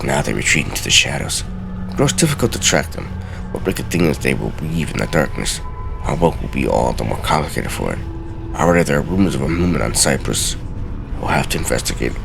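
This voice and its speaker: A man giving a solemn, deliberate, theatrical dramatic reading of journal entries from a game. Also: very deep voice, creaky. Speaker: let's play host.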